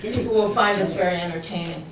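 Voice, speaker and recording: A woman chairing a town board hearing. She runs it briskly and drops a dry joke now and then. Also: lowish voice. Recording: echoey room, distant mic, clear noise.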